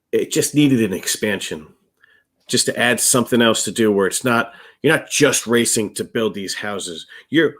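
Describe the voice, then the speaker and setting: Male co-host, middle-aged, on the low side. Loose, chatty review delivery that circles back and repeats words. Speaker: co-host of a board game review show. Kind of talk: casual chat, reviewing board games.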